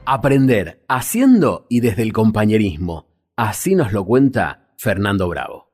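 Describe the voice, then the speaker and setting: A man's voice: lowish voice, sing-song, measured pace, a little mellow. A man with an Argentine accent reading scripted documentary narration as a presenter. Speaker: podcast host narrator. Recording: music bed behind voice. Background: background music.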